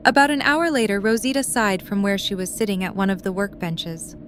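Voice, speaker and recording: A woman's voice: mid-range voice, expressive, fairly quick, fairly bright tone, slight background noise. A woman reading scripted third-person story narration for an audio drama.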